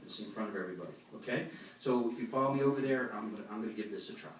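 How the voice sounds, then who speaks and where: A man's voice: fairly low pitch, rapid-fire. A man giving an instructional religious talk to a congregation in a plain-spoken, explanatory way, reading out anecdotes as he goes. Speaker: congregation speaker giving a demonstration. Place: echoey meeting hall before an audience. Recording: echoey hall, distant mic, faint noise.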